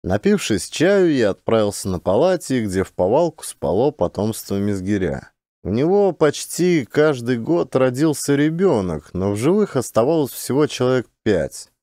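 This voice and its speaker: A male actor narrates literary prose in an expressive, scripted style. His voice is mellow and moves with lively intonation, recorded close and dry. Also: mid-range voice, normal speed, no room sound.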